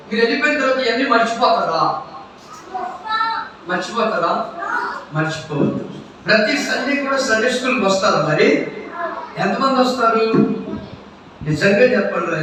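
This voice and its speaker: Guy hosting a kids puppet show, high voice, keeps asking the children questions, super echoey noisy hall. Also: distant mic. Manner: animated, repeats questions to the children. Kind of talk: live address to children, call and response.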